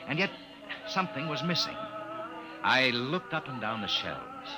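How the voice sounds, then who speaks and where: An actor playing a polite, curious young junior officer who also narrates, in 1950s radio style. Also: male voice, mid-range voice, big pitch swings, measured pace, over music, low-quality recording. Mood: reflective narrating. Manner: deferential, inquisitive, hesitant in dialogue. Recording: vintage 1950s radio drama recording.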